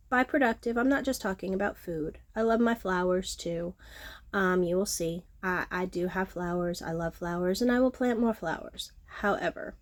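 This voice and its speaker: A middle-aged woman with a nasal, sniffly voice, chatting casually on her home vlog and filling pauses with ums. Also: medium pitch. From Texas Gulf Coast. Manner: frequent ums, self-deprecating, chatty. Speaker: vlogger, horticulturist. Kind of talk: casual talking-to-camera vlog. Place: outdoors at home, front porch.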